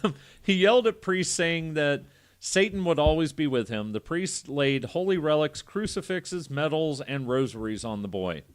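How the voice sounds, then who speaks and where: A man's voice: a little high, big pitch swings, normal speed, clean tone. A man hosting a casual podcast, reading a story aloud with irreverent, foul-mouthed joking asides. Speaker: podcast host.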